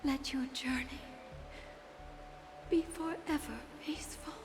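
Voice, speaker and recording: Slow, high-voiced, tearful devout mother. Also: steady tone. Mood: tender blessing. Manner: breathy, tearful, slow and reverent. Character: frail, grieving, devout mother blessing her newborn son. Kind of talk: dramatic video game voice acting. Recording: long reverb under loud music.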